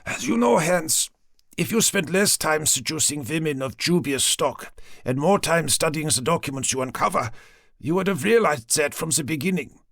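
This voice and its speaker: A man's voice: on the high side, expressive, normal speed, fairly bright tone, no echo, clean studio sound, voice pushed up. A man voicing a cruel, fanatical old German woman, speaking with theatrical mock tenderness. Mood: domineering.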